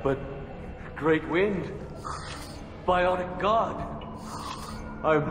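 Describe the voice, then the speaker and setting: An actor playing a deluded, grandiose alien in a video game, bombastic and rambling. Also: male voice, sits high, very slow, background noise. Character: deluded alien biotic braggart, grandiose and dazed. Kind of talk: video game character acting. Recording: echoey hall with loud music behind.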